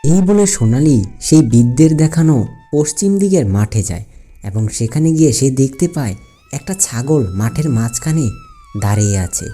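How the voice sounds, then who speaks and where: A man's voice: fairly high pitch, lively intonation, normal speed, dark tone, soft background music. A man giving scripted storytelling narration for a cartoon fairy tale.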